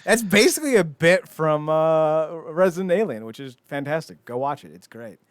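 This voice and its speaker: Animated man hosting a comedy podcast. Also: fairly high pitch, moderate pace, smooth, no room sound. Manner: animated riffing, swears casually. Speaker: podcast host. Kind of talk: casual comedic podcast chat.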